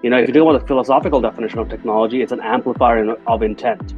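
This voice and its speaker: A man in his forties from Bangladesh speaks as a podcast guest, thoughtful and earnest, pausing on fillers as he works through ideas. His voice sits at a middle pitch. The audio is a little muffled, with faint music in places. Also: steady tone. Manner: reflective, earnest, with frequent fillers. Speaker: guest, entrepreneur. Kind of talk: conversational interview.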